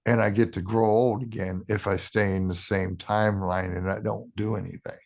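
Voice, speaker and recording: A man in his sixties, a podcast guest talking casually in a halting way, restarting sentences with ums. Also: lowish voice, flat delivery, a little mellow. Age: 60s. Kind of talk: casual interview talk.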